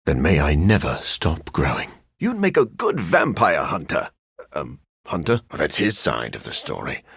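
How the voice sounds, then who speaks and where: An actor playing a sophisticated, bookish vampire scientist in a video game, speaking in an articulate, formal way with dry wit and a raspy edge. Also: male voice, very deep voice, wildly swooping pitch, unhurried, fairly bright tone, dry recording, exaggerated voice. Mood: wry, reflective. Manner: articulate, formal, dryly witty. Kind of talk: video game character voice lines.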